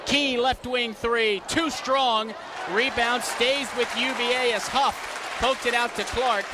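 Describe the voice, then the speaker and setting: High-voiced young American male sportscaster, noisy. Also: fairly even intonation, slow pace, crowd noise. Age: young adult. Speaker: play-by-play announcer and sports show host. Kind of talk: sports broadcast commentary.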